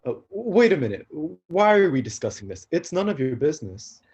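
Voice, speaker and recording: A man's voice: a little high, very animated, a little fast, darkish tone, slightly rough, lo-fi sound. A man playing a clumsy young god of destruction, earnest and frustrated, in a staged play reading. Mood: annoyed.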